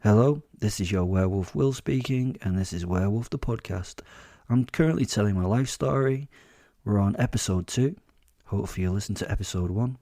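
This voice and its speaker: A man narrating a first-person dramatic story as a wry, boastful werewolf, in a measured way with dramatic pauses. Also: fairly low pitch, dark tone, no room sound, clean studio sound. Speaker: narrator and host of a fiction podcast.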